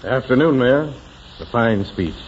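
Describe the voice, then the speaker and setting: An actor playing a crotchety old judge with a blustery, grumbling manner, in 1940s radio drama style. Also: male voice, mid-range voice, lively intonation, a little slow, lightly acted. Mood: crotchety, huffy. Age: older. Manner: blustery, grumbling, hesitant hems. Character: crotchety old judge, the mayor's checkers rival. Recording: muffled, hissy 1940s radio recording.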